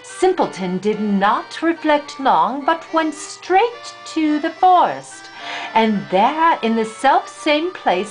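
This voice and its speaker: An older woman reads a fairy tale aloud to children as a grandmother would, in a deliberate, animated storytelling style. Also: high-pitched, low-quality recording.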